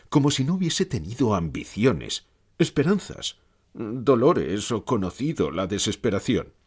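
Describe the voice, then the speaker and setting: Man acting solemn deceased philosopher, low pitch, husky, deliberate, dryly weary, dramatized interview. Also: exaggerated voice. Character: dead Romanian philosopher, dry, weary, solemn, amused at being interviewed. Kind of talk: dramatized interview, slow reflective answers.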